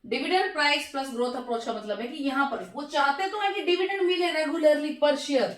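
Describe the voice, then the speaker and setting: A hoarse woman teaching finance in a classroom-style lecture, asking questions and answering them herself and slowing down over English terms. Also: high-pitched, recorded from a distance. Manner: slows down to spell out English terms. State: hoarse, sore throat. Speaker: economics teacher. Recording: echoey room, voice from off mic.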